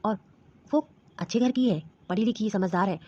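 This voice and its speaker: A woman's voice: medium pitch, moderate pace, darkish tone, breathy edge, close to an everyday voice. A woman acting as an earnest young husband and son, defending his choices with reasoning and a defensive tone. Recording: dull lo-fi sound.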